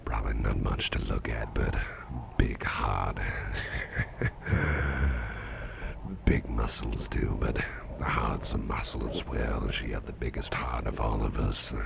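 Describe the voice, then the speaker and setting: A man playing a sickly, drowsy, kindly boss in an ASMR roleplay, murmuring breathily and haltingly in a gravelly voice, often repeating himself. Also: extremely low pitch, little pitch movement, heavy background noise, full character voice. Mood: drowsy, affectionate. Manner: slow, rambling, hesitant, repeating himself. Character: sickly, drowsy, sweet-tempered boss confiding in his employee.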